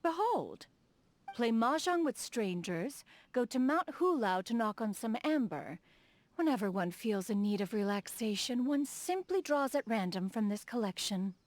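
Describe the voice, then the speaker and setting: A woman's voice: on the high side, big pitch swings, measured pace, no echo. An actress voicing a refined, dignified immortal woman and proud inventor in a video game, speaking in a formal, stately manner. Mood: eager, proud. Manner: formal, stately, refers to herself as "one". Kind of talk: video game character dialogue.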